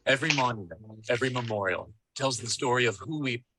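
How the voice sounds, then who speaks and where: A man reading scripted documentary narration in a solemn, measured and formal way. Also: medium pitch, expressive, background noise.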